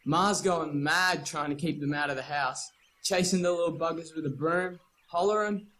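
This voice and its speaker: A man's voice: fairly high pitch, normal speed, a bit bright, slight background noise, close to an everyday voice. A man playing a chatty, mischievous Australian schoolboy in a nostalgic radio play. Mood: eager, chatty. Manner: chatty, teasing. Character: cheeky, talkative schoolboy, eager and mischievous.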